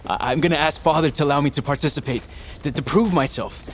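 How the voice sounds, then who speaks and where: A man's voice: on the high side, fast, a little hiss or hum, lightly acted. An actor playing a shy, earnest young nobleman with a hesitant, stammering delivery in a fantasy audio drama. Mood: wistful, earnest. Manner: hesitant, stammering, soft-hearted.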